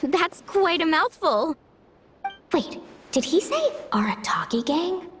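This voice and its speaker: A woman's voice: very high voice, sing-song, slow pace, slight background noise, heavily acted. An actress playing an upbeat, friendly, adventurous young woman in a video game, with a breezy, enthusiastic and informal way of talking. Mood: friendly, curious.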